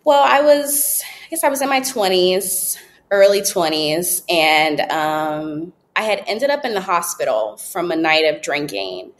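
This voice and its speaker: A woman in her late twenties telling her story as a podcast guest, candid and relaxed, with frequent ums and likes. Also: lowish voice, unhurried. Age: 20s. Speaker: podcast guest, app founder. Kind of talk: casual interview storytelling.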